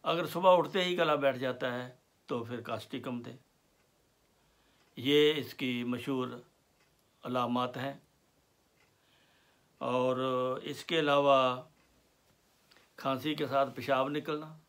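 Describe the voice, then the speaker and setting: A man lectures on homeopathic remedies at an unhurried crawl. His voice carries a gravelly fry, and he takes his time, filling gaps with soft hesitations as he lists symptoms. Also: mid-range voice, fairly even intonation. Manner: slow and deliberate, with pauses and fillers. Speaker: homeopathy teacher. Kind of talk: slow explanatory lecture.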